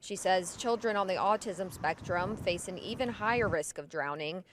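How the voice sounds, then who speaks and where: A woman field reporter delivering a scripted local news story in a measured, clear way. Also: fairly low pitch, slight background noise.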